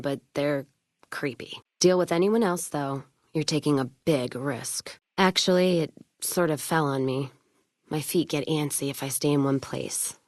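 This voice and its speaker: A woman acts out a tough, foul-mouthed wasteland trader woman for a video game. Her voice sits low, and she talks bluntly with wry, cynical salt. The recording is close and dry, with no room sound. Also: no room sound, close to an everyday voice. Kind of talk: video game character dialogue.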